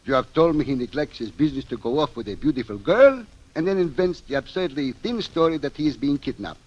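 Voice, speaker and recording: An actor playing a pompous, skeptical police lieutenant in an old radio drama, formal, deliberate and condescending. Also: male voice, a little high, lively intonation, a little mellow. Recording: dull old radio recording.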